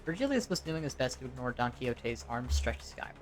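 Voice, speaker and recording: A woman's voice: extremely low pitch, big pitch swings, brisk. A woman reading scripted story narration as an amateur voice-over, now and then stumbling over the text, with music behind her.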